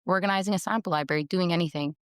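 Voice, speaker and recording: A woman's voice: lowish voice, no echo, clean studio sound. A young woman from Montreal, whose first language is Italian, talking in a chatty, upbeat way as an interview guest. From Montreal, Canada. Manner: chatty and upbeat, quick laughs. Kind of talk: casual interview conversation. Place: recorded in a workspace studio in London.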